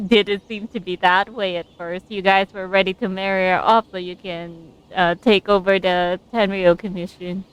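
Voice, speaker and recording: A woman with a smooth, clear voice of medium pitch comments on a game live. She is chatty and casual, reacting aloud to the story from close to the mic. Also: fairly even intonation, normal speed, poor audio. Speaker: game streamer. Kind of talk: casual live gameplay commentary and reading along.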